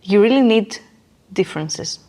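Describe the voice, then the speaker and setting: A woman's voice: medium pitch, lively intonation, unhurried, dark tone. A woman guest in an interview, explaining her ideas conversationally in emphatic, short declarative phrases and repeating her key points.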